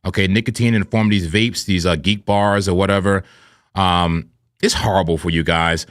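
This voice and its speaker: A man co-hosting a live trading stream, with a smooth radio-style voice, talking in a playful, animated way and often clearing his throat. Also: low-pitched, normal speed, dry recording, clean studio sound. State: throat irritated from vaping. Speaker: co-host of a crypto trading stream. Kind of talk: casual live-stream banter.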